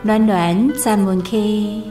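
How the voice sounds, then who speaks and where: A woman's voice: medium pitch, fairly even intonation, slow. A woman with a Taiwanese accent reading a scripted radio programme tagline. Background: guitar music.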